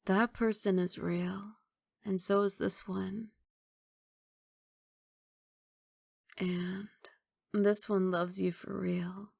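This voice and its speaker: A woman playing a guarded but tender mafia boss girlfriend in an intimate ASMR roleplay, speaking softly and in a measured, wistful way. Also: medium pitch, level tone, vocal fry, no room sound, studio quality.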